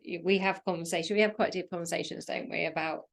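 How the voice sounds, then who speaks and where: A woman's voice: lowish voice, fairly even intonation, quick pace. A woman in her thirties hosting a podcast, chatty and prompting her guest with questions between laughs. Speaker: podcast host. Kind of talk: casual conversation.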